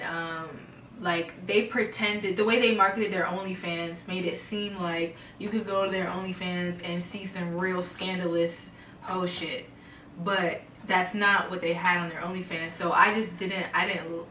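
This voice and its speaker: Blunt, bright-voiced woman from Baltimore. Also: fairly low pitch, a little hiss or hum. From Baltimore, USA. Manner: blunt, frank, swears freely, lots of fillers. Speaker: interview guest. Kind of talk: casual interview chat. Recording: echoey room, voice a bit far from the mic.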